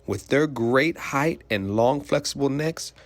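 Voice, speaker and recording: A man's voice: mid-range voice, expressive, unhurried. A man reading a children's picture book aloud like a teacher, explaining simply and putting questions to young listeners.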